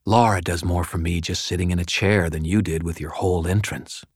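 A man's voice: deep voice, steady tone, a little fast, a little mellow, breathy edge, no room sound, clean studio sound. A man voicing a sardonic, wisecracking wizard in an audiobook, with mock cheer and defiance. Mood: needling.

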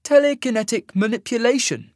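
A man voicing a commanding, calm immortal adjudicator in a fantasy audiobook, with measured, formal speech. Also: high-pitched, no room sound, clean studio sound, voice pushed up. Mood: impressed.

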